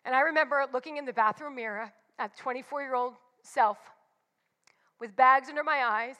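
A woman's voice: sits high, normal speed, bright, forceful tone, long reverb tail. A woman in her forties giving a candid, confessional devotional talk with emphatic repetitions. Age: 40s. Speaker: church speaker.

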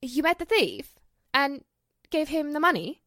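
A high, very animated female stage star, played by a woman in a forties radio drama. She is theatrical and emotional, with melody that swings widely. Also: a little slow, dry recording, studio quality, heavily acted. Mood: anxious. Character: emotional, theatrical famous actress, effusive and fretful. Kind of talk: 1940s radio drama acting.

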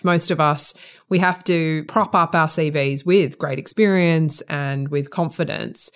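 Australian woman asking questions, lowish voice, thinks aloud and restarts a lot. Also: fairly even intonation, unhurried. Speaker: interviewer. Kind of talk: conversational interview.

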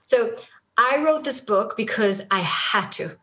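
A woman's voice: a little high, lively intonation, unhurried, a bit bright. A middle-aged woman from Israel with an Israeli accent, a native Hebrew speaker, talking earnestly and reflectively as an interview guest, often restarting her sentences. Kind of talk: conversational interview.

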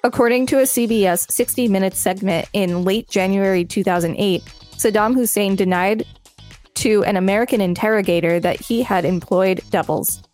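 A woman's voice: mid-range voice, moderate pace, a little mellow, faint music behind. A woman co-hosting a podcast, reading articles aloud and chatting casually between entries. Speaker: podcast co-host.

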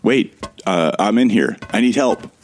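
A man's voice: on the low side, animated, a little slow, faint music behind. An actor playing a bewildered amnesiac man who wryly narrates his ordeal in the first person for a sci-fi audio drama. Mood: confused. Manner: wry, musing.

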